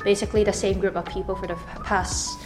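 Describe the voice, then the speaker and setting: A woman from Canada with a Canadian accent, co-hosting a community radio show in lively casual chat full of fillers. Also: mid-range voice, quick pace, roomy sound, big-room sound, music in the background. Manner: chatty, animated, many fillers. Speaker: radio co-host.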